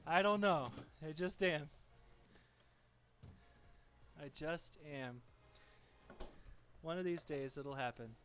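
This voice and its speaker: Soft-spoken man chatting through a cooking stream. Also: fairly high pitch, slow pace, a little hiss or hum. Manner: relaxed, chatty, talks to viewers while working. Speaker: streaming home cook. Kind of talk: casual live cooking stream, reading chat aloud. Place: home kitchen.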